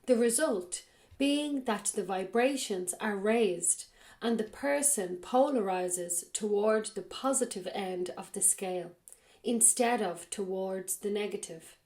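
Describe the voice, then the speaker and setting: Raspy-voiced woman with a cold. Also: medium pitch, slow, a little mellow. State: has a cold. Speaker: podcast host. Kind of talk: reading a spiritual text aloud, then commenting.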